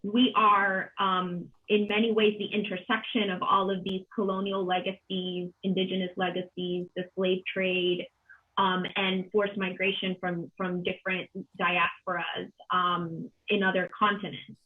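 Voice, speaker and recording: Halting, thoughtful woman on a tinny video call. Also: mid-range voice, little pitch movement. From Colombia. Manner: halting, thoughtful, with many pauses and repeated words. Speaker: panel moderator, arts organization director. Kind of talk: reflective, unscripted panel remarks. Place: home kitchen, on a video call. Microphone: computer mic on a video call. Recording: dull, band-limited video call audio.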